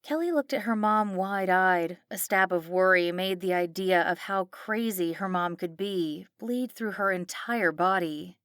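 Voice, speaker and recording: Female narrator for horror fiction. Crisp-edged, bright, mid-range delivery, dramatic, dry recording. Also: fairly low pitch, a little slow, hardly any pauses, dry recording. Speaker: audiobook narrator.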